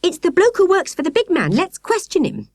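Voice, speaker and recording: An outspoken young British girl in a children's story, played by a woman reader. She protests excitedly and stubbornly in a high, swooping, insistent voice. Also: brisk, creaky, no echo, clean studio sound, exaggerated voice. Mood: excited accusing. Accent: British English.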